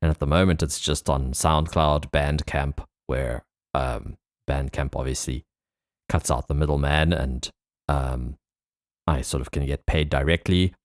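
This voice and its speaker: A man hosting a podcast, talking in a casual, reflective, informal way with a husky voice. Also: rumbling low, steady tone, measured pace, no room sound, studio quality. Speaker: podcast host. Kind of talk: casual conversational interview.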